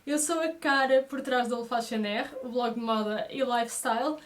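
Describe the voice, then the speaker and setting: High-pitched young Portuguese woman vlogger. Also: steady tone, moderate pace. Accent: European Portuguese. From Brazil, moved to Portugal aged six. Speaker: vlogger introducing her channel. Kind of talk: casual chat to viewers.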